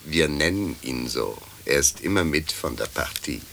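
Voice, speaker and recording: Deep, deferential ghostly manservant. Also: male voice, lively intonation, moderate pace, creaky, fairly bright tone, slight background noise, exaggerated voice. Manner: deferential, formal. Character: ghostly manservant-secretary James, deferential, discreet, anxious. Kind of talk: radio drama acting.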